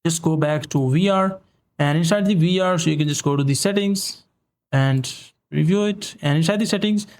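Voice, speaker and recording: A man narrating a step-by-step software tutorial in an informal, repetitive instructional way. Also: fairly high pitch, normal speed. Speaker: tutorial host.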